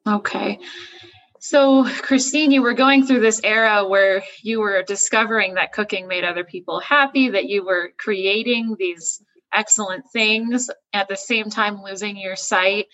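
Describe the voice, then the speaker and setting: A woman's voice: mid-range voice, a little slow, fairly bright tone, low-quality recording. A woman hosting a podcast, asking questions in a conversational interview style. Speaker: podcast host interviewing a guest.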